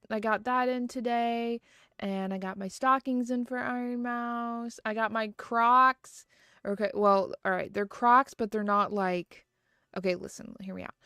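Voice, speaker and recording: A woman's voice: mid-range voice, fairly bright tone, clean tone, dry recording. Woman streamer, mid-range voice, loose chatty rambling full of "um" and "like", dry close sound. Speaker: livestreamer. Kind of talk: casual chat.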